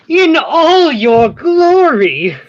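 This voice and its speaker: A woman's voice: extremely high pitch, sing-song, drawn-out words, no room sound, highs cut off, heavily acted. A woman playing a nosy, gossipy, romance-hungry neighbour with melodramatic delivery in a stage comedy read. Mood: swooning. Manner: melodramatic, prying.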